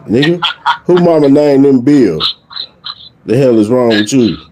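A man's voice: mid-range voice, lively intonation, measured pace, warm tone. A middle-aged American man talking as a streetwise prank caller, confronting someone and repeating himself, recorded on a phone at arm's length. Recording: noisy phone-camera recording.

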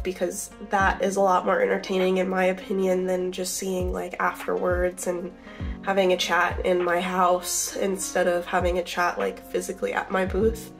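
A young woman with a Southern accent, congested from a cold, chatting casually and rambling through her crochet vlog in a small bedroom. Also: medium pitch. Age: young adult. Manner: chatty, rambling, many fillers. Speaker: crochet vlogger.

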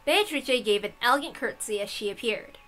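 A high-voiced woman reads a story aloud with big swings in pitch. She is excitable and irreverent, slipping between dramatic narration and casual, sweary joking, over soft background music. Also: a little fast. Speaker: host reading a visual novel aloud.

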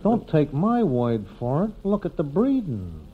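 Confidential, slangy American racetrack tout, clipped but swooping delivery, tinny 1950s radio comedy. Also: male voice, on the high side, wildly swooping pitch, a little slow, breathy edge, full character voice. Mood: insistent.

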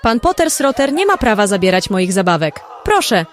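A woman's voice: big pitch swings, normal speed, faint music behind, natural voice. A woman playing a feisty, protesting little girl in an amateur radio play. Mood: indignant. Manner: shrill, protesting. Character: feisty little girl defending her toys.

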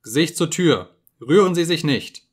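A man reading an audiobook, voicing a cold, commanding male voice from a wall screen that barks orders loudly and high. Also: lively intonation, measured pace, husky. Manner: barked, loud orders.

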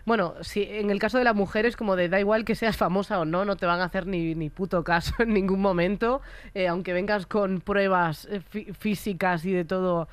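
Young Spanish woman, mid-pitched. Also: fairly even intonation, a little fast, smooth, no room sound. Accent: Spain Spanish. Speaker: podcast co-host. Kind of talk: casual podcast chat.

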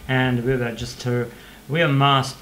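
Halting non-native man on a webcam. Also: medium pitch, level tone, fairly bright tone, a little hiss or hum. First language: not English. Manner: hesitant, many fillers and restarts. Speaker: channel host practicing English. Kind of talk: casual rambling monologue to webcam. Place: small home room indoors. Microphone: webcam microphone at desk.

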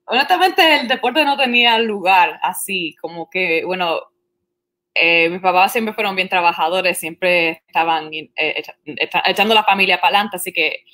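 A woman's voice: on the low side, moderate pace, pushed and bright. A woman from Puerto Rico, a journalist guest chatting in an interview, who often restarts her sentences and slips English words into her Spanish. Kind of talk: casual interview conversation.

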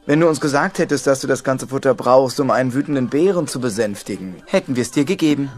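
Guy doing a worried responsible turtle, cartoon film, animated highish voice, little music. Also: fast, close to an everyday voice. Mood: earnest. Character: worried, kindly, responsible male turtle, family leader. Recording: faint music behind the voice.

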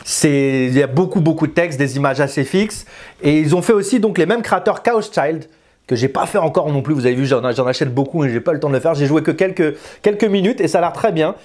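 Guy in his 30s doing a laid-back game review, kinda high voice, chatty, keeps restarting his phrases. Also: animated, brisk, a little mellow. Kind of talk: casual spoken review to camera. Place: small indoor room with bookshelves. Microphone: lapel mic.

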